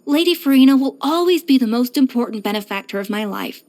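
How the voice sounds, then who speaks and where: An actress voicing a proud, exacting young pastry chef in a video game, poised, self-assured and precise in manner. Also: female voice, sits high, lively intonation, fast, a little mellow. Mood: earnest, admiring. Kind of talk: scripted video game character voice lines.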